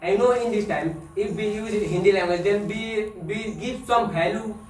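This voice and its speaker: A man's voice: high voice, normal speed. A young man with an Indian English accent making an earnest debate rebuttal in class. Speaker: student debater. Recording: echoey room, distant mic, faint noise.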